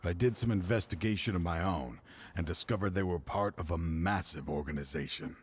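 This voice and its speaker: An actor playing a grieving older man, once a partner of the hero, who speaks in a measured, weary and somber way in a game cutscene. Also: low voice, a little hiss or hum, close to an everyday voice. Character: grieving older male ex-partner turned foe.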